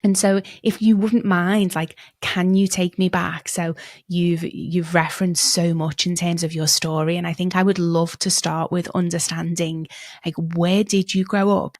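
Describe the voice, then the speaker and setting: A woman's voice: lowish voice, little pitch movement, normal speed, no echo, studio quality. A woman hosting a podcast, interviewing in an enthusiastic, affirming way and often saying "like" and "you know". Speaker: podcast host. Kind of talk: conversational interviewing.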